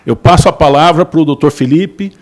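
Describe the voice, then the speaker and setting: A man's voice: fairly high pitch, normal speed. A man moderating a panel, introducing a guest with courteous, formal words.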